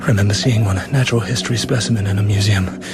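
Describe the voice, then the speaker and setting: An actor performs a cool British secret agent in a radio drama. His voice is low with a gravelly creak, and he speaks in short, clipped, often hushed phrases. Music plays clearly underneath. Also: steady tone, quick pace, close to an everyday voice. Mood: tense, hushed. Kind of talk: radio drama acting with internal monologue.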